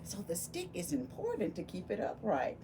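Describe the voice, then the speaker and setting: A woman reading a picture book aloud to young children, expressive and full of wonder, pausing to ask them questions. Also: medium pitch, breathy edge. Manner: animated, exclaiming with gasps and wonder, addressing young children. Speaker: volunteer children's read-aloud storyteller.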